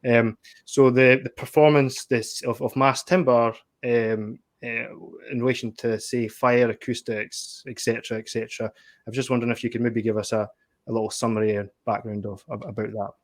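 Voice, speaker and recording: A man's voice: medium pitch, level tone, moderate pace. A man hosting an interview, chatty, repeating words as he frames questions. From Scotland. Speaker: podcast host. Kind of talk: casual interview chat.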